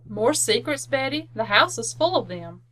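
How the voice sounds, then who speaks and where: A woman playing a helpful aunt who gives crisp, reassuring, instructive advice in an old-time radio commercial. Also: on the high side, very animated, normal speed, a bit bright. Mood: helpful, cheerful. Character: helpful, reassuring aunt selling laundry soap.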